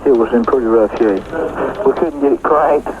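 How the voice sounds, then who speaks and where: Male interviewee, mid pitch, plain conversational remarks, noisy news sound bite. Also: moderate pace, phone-quality sound. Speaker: state investigator interviewed on news.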